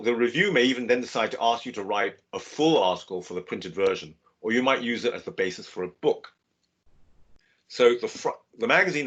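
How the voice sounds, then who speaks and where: A man giving a measured, steady webinar lecture over a video call. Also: on the low side. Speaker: guest lecturer. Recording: dull lo-fi video call audio.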